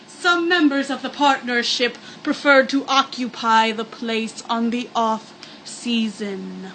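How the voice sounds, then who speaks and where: High, bright woman's voice, slow sarcastic storytelling. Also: a little hiss or hum, low-quality recording. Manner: sarcastic, mock-dramatic. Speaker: storyteller reading a ghost story aloud with joking asides. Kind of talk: comedic read-aloud with mock-spooky asides.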